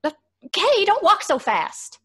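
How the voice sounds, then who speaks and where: Lively, proper radio-drama group leader. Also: female voice, extremely high pitch, slow, full character voice. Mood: patient, instructive. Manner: explanatory, proper, animated. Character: sensible, schoolteacherly woman leading younger friends. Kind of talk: old-time radio drama acting.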